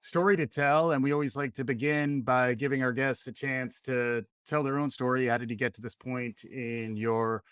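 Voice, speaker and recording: A man hosts an interview podcast, asking questions in a friendly, measured way and affirming his guest with short remarks. His voice sits in a middle pitch. The recording is dry and close. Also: fairly even intonation, a bit bright, clear, resonant tone, dry recording. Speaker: podcast host.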